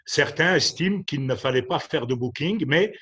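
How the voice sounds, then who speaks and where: A man with a slightly high voice speaks in a measured, thoughtful way. He pauses often on fillers as he builds each point. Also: expressive, bright, forceful tone, slightly rough, dull audio. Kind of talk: panel discussion on religion.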